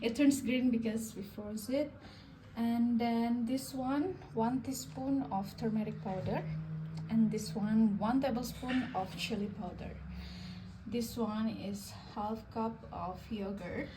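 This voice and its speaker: Young woman from indonesia, cooking tutorial, slow unhurried talk, fairly high voice, distant camera mic, sizzling kitchen noise. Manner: friendly, explains step by step with small laughs. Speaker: cooking vlogger. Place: home kitchen, stove frying.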